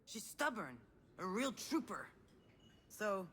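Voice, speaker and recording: An actress playing a guarded, self-doubting adult woman with a weary, sardonic, measured way of talking in a naturalistic video game drama. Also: mid-range voice, very animated, fairly bright tone, slight background noise. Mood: weary, resigned.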